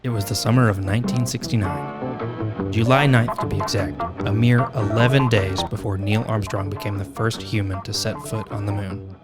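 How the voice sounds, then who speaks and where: Low-voiced man narrating a wry podcast. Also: fairly even intonation, moderate pace, over loud music. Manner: wry, tongue-in-cheek. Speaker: podcast host.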